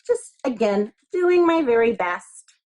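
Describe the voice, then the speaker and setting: Female primary teacher, very lively intonation, guiding little kids through a craft in simple, encouraging, repetitive language. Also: on the high side, takes it slowly, dull audio. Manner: encouraging, simple words, repeats instructions. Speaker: elementary school teacher. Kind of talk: step-by-step craft instruction for young children.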